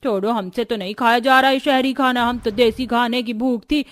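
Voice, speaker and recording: Scolding old grandmother, high-pitched woman acting. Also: steady tone, moderate pace, natural voice. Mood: complaining. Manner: scolding, disapproving. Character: stern traditional old grandmother-in-law, scolding matriarch.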